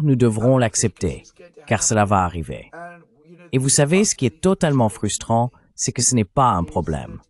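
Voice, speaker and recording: A man's voice: mid-range voice, darkish tone. A man's AI-generated voice reading a dubbed commentary in an emphatic, argumentative way.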